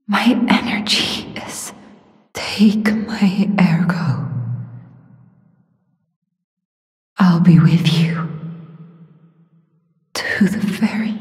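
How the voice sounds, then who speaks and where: An actress playing a gentle, sorrowful young mystical woman in a video game, speaking softly and breathily in a solemn, earnest, measured way. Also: on the low side. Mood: pained, pleading. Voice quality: soft, breathy, airy. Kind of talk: dramatic video game voice acting. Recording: long reverb, music behind the voice.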